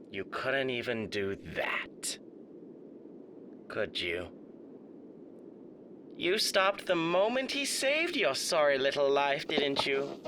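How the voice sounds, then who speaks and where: Suave, menacing demon, wildly swooping voice. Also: male voice, high-pitched, background noise, exaggerated voice. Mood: accusing. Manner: mock-polite, pompous, drawn-out, taunting delivery. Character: suave, menacing male radio demon, theatrical and condescending. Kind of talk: theatrical roleplay monologue to the listener.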